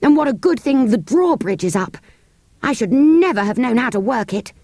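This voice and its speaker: A woman with a British accent voicing a cocky, quick-witted eldest schoolboy in a storybook, explaining things like a know-it-all. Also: on the high side, animated, a little mellow, dry recording, studio quality, pitched above the performer's own voice. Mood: relieved.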